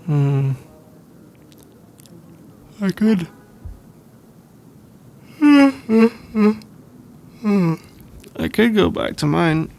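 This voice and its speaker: Casting: male actor as drowsy young British student boyfriend, easygoing, halting, gravelly, unhurried delivery for intimate ASMR roleplay. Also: sits high, a little hiss or hum, full character voice. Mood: drowsy, affectionate. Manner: hesitant, halting, rambling with soft laughs.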